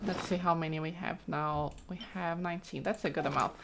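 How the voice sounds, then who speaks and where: A woman's voice: fairly low pitch, steady tone, brisk, vocal fry, very noisy. A woman giving casual, chatty gameplay commentary, thinking aloud as she plays. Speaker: let's play host. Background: game music, keyboard clicks.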